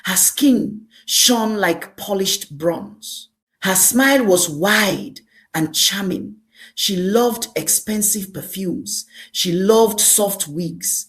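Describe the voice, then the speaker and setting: A woman narrating a scripted dramatic folktale as a storyteller, speaking deliberately in short, emphatic phrases. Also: mid-range voice, a bit bright.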